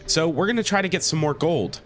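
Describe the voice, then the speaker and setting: A man's voice: on the high side, lively intonation, fairly quick, a bit bright, soft background music. A man hosting a strategy game playthrough, sick with a cold and talking in an easygoing way full of fillers. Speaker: let's play host. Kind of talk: gameplay commentary.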